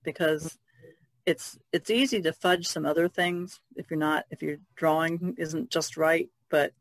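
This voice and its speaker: Woman with a low, creaky voice. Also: steady tone, unhurried, dry recording, poor audio. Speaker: podcast panelist. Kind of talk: casual chat.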